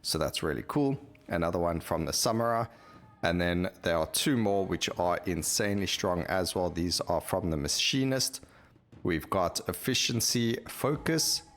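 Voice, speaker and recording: A man's voice: low voice, animated, moderate pace, fairly bright tone. A man hosting a gameplay video, talking casually and rambling as he thinks aloud while he plays. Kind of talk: casual game commentary.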